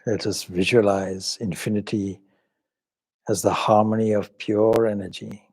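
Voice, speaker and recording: A man leading a guided meditation as a swami, speaking calmly and reverently in measured short phrases with pauses. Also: lowish voice, slightly rough. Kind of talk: guided meditation with some Sanskrit chanting.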